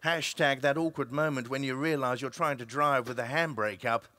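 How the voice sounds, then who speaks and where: A man's voice: medium pitch, fairly even intonation, moderate pace, pushed and bright, dry recording, lightly acted. A man performing an emphatic, dramatic reading of a comic story as an actor. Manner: theatrical, emphatic delivery.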